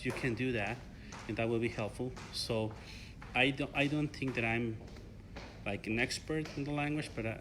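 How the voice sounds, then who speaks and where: A young man from Mexico with a Mexican Spanish accent, a native Spanish speaker answering interview questions in a halting way with fillers and restarts. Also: medium pitch, noisy background. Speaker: interview guest, ESL learner.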